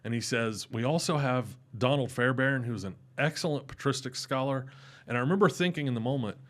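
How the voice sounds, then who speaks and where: Young man, loud and carrying, lively casual storyteller. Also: fairly quick. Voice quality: loud voice that carries. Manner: animated, rising in pitch when excited. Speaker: podcast guest.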